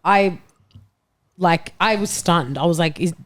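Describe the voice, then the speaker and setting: Low-pitched woman chatting about football. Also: a little slow. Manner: exclamatory, slangy, swears freely. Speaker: football fan panellist. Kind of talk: casual football chat.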